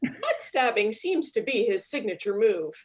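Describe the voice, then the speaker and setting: A woman's voice: mid-range voice, big pitch swings, measured pace. Sassy comic woman narrator. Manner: mock-dramatic, sassy. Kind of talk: comic radio-play narration.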